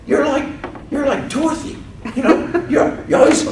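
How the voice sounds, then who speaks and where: A man's voice: extremely high pitch, moderate pace, noisy background, voice pushed up. A man playing an ancient, fussy male angel who rambles and giggles while teasing a crowd, recorded from far off in an echoey event room.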